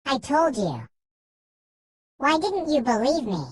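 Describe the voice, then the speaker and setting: A woman's voice: high-pitched, sing-song, takes it slowly, no echo, full character voice. A woman voicing a bored, mischievous young village shepherd boy in a children's storybook. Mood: remorseful.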